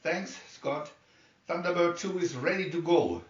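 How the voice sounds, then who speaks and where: An eager, upbeat rescue pilot acted by a man reading a storybook, dutiful and high in pitch. The recording is roomy and a bit distant. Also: a little slow, close to an everyday voice. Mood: eager, ready. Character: eager, ready male heavy-rescue craft pilot. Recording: dull, roomy, distant microphone.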